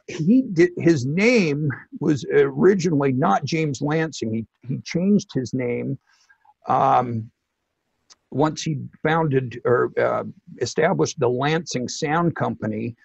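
A middle-aged man explaining things informally as an interview guest, in a halting, hesitant way with many pauses. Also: mid-range voice, vocal fry, slightly rough, no echo, highs cut off. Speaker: loudspeaker company technical director, interview guest.

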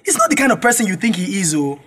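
An easygoing young Nigerian played by a man smooths things over in an audio drama. His delivery is lively and varied in pitch. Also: quick pace, heavily acted. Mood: placating.

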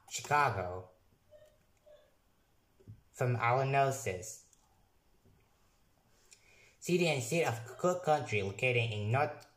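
A young man who is not a native English speaker, casually reviewing software on his computer and reading text aloud haltingly, restarting and correcting words. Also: medium pitch, echoey room. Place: room at a computer.

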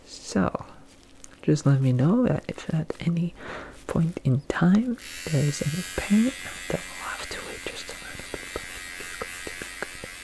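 A young man doing a soft-spoken, often whispered ASMR dentist roleplay, halting and gently reassuring, speaking close through a face mask. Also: mid-range voice, steady tone, noisy background. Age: young adult. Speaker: ASMR performer playing a dentist. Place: small plain room indoors. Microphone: close to the mic, facing camera, through a face mask.

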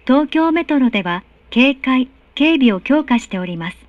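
High-pitched woman making polite train announcements. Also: animated, moderate pace, no room sound, muffled recording. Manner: formal, polite, carefully enunciated. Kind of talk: recorded train station announcements. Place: recorded announcement played inside subway car.

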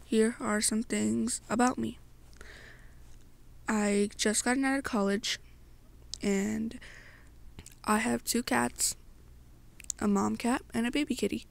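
A young woman narrating an ASMR story to the listener in a halting way, pausing between short phrases. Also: on the high side, steady tone, creaky. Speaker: amateur roleplay narrator.

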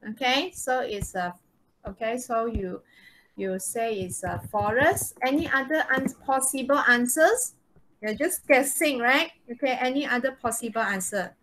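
A woman's voice: a little high, a little slow, a bit bright, rough recording. A woman with a Malaysian accent teaching a live online lesson, often checking in with okay and right. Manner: frequent checking questions, tag words like okay and right. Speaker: English teacher. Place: indoors, online video call.

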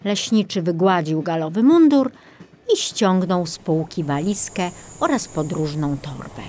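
A woman's voice: on the low side, lively intonation, a little slow, warm tone, a little hiss or hum. A woman reading a children's audiobook as scripted narration.

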